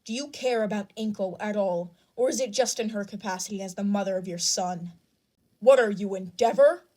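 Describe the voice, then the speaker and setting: A woman's voice: mid-range voice, moderate pace, warm tone, natural voice. A woman voicing a blunt, indignant male hero in a fan fiction reading.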